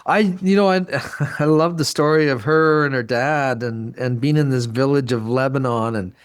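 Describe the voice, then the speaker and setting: A man's voice: medium pitch, normal speed, clear, resonant tone. A man hosting a podcast in casual conversation, speaking in an enthusiastic, rambling way and often repeating himself. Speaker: podcast host.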